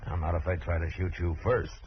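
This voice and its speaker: An American actor playing a calm, fair-minded, middle-aged frontier marshal in an unhurried, plain-spoken way, in 1950s radio western style. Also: male voice, sits low, lightly acted. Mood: firm, reasoning. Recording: muffled, noisy old radio recording.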